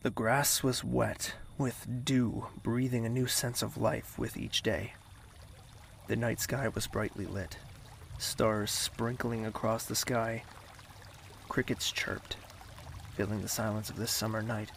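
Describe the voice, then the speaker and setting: A man playing an earnest, anxious young man who narrates his own tale in an audio drama, with some gravelly fry. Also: fairly low pitch, fairly even intonation, slow pace, a bit bright, a little hiss or hum, exaggerated voice. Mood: calm, descriptive.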